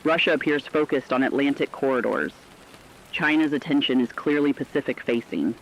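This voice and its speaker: A man playing a sober radio analyst, giving formal, deliberate commentary in a scripted emergency broadcast. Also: medium pitch, a bit bright, dull audio. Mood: sober, ominous.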